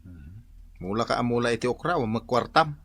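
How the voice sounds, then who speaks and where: A man's voice: mid-range voice, expressive, fairly quick, fairly bright tone, dry recording. A man playing a preachy, exasperated older brother with an Ilocano Filipino accent in a radio drama. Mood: chiding. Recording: music behind radio drama.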